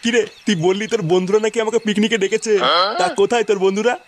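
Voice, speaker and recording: A man voicing an excitable, foul-mouthed, cowardly village uncle in a comic cartoon, agitated and blustering. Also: high voice, very fast, natural voice. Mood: eager. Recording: noisy cartoon audio with ambience.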